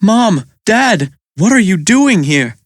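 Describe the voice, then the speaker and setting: A woman voicing an eager, nervous young boy in a storybook, excitable and earnest. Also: fairly low pitch, moderate pace, dry recording, clean studio sound, pitched above the performer's own voice. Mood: worried, startled.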